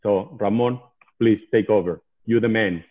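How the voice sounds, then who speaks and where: A man's voice: lowish voice, unhurried, narrow, tinny audio. A man introducing the guest speaker to an audience with enthusiasm. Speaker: event host introducing the speaker.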